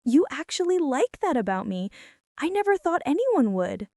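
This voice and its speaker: A woman playing a shy, quiet, self-doubting high school girl in an ASMR roleplay, speaking softly and hesitantly. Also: big pitch swings, normal speed, no echo, clean studio sound. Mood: tender, incredulous. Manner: soft, hesitant, flustered, often breaking into breathy whispers.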